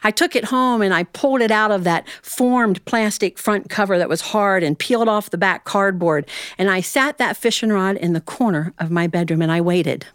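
An American woman storyteller from Ohio telling a tale live to listeners, in an animated, folksy way with dramatic pauses and asides. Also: mid-range voice, smooth, no echo, studio quality. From Ohio, United States.

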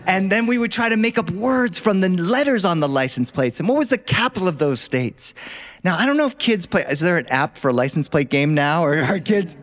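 A man's voice: a little high, a bit bright. A middle-aged man telling childhood stories live, conversational and engaging, often putting questions to his listeners. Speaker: storyteller. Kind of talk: live storytelling of childhood memories to an audience. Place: recording studio in front of live listeners.

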